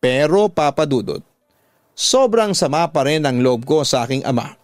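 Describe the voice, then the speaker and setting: A Filipino man hosts a radio story program in a fairly high male voice. He reads letters as dramatic first-person narration and speaks as an advice giver. The sound is close and dry, with no room around it. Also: moderate pace, dry recording.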